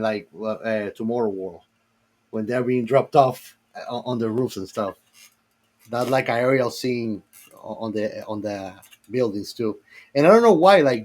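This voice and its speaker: A man with a medium-pitched voice co-hosts a film podcast. He talks in a loose, informal chat style, full of slang and casual swearing. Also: darkish tone. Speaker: podcast co-host.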